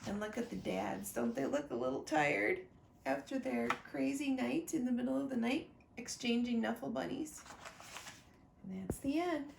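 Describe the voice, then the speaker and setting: A woman reading a children's picture book aloud expressively, pausing to talk directly to young listeners about the pictures. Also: sits high, vocal fry. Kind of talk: animated children's storybook read-aloud with asides. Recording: roomy echo, faint background noise.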